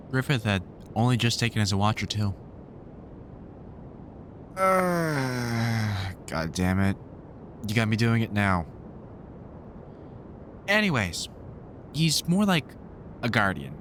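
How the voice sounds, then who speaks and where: A man playing a weary, kindly vampire hunter in an audio roleplay, talking to the listener in a halting, informal way with dry humour. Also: lowish voice, a bit bright, slight background noise. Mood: grim, regretful.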